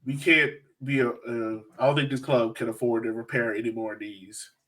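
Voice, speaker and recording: A man's voice: medium pitch, flat delivery, moderate pace, a bit bright. A man co-hosting a casual sports podcast, talking in a slangy, storytelling way. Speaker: podcast co-host.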